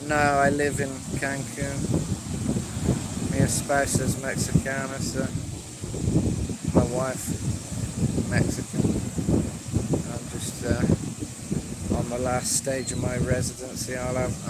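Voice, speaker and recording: An English man chatting casually during a live stream on the beach, with lots of fillers and pauses. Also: medium pitch. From England. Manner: relaxed, hesitant, many fillers. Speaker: livestreamer. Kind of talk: casual live stream chat answering viewers. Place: outdoor beach, windy. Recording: loud wind and surf noise outdoors.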